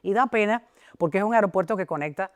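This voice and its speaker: A woman hosting a talk show, interviewing a guest in a fluent, chatty manner and quickly prompting him. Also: medium pitch.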